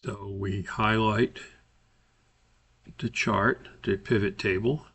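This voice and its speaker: A man giving an informal software tutorial, thinking aloud in a halting way as he explains each step. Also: fairly low pitch, fairly even intonation, breathy edge. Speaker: tutorial presenter. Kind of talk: informal software screencast walkthrough.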